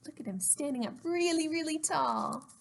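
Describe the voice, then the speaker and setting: A woman hosting a story time for small children, speaking in a simple, expressive, child-directed way as she narrates. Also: high-pitched, moderate pace, gravelly.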